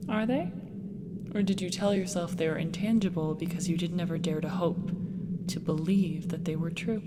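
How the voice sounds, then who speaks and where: A woman playing a solemn, wise guide in an audio drama, speaking in a measured, poetic, insistent way. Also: lowish voice, close to an everyday voice. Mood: gentle, probing. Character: solemn, wise female guide consoling the bereaved. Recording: very noisy recording with loud ambience.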